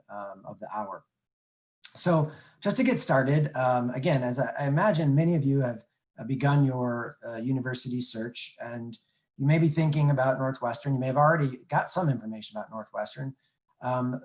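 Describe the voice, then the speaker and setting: A middle-aged man, an admissions director, hosting an online panel, talking haltingly with many filler sounds. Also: medium pitch, rough recording. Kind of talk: webinar presentation.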